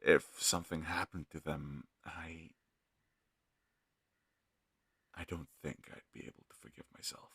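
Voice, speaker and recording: A man playing an earnest, kind fantasy healer in an audio roleplay, speaking in a gentle, hesitant, slightly husky voice. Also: extremely low pitch, fairly even intonation, moderate pace, no room sound, exaggerated voice. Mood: worried, earnest. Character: earnest, kind young male fantasy healer, self-doubting.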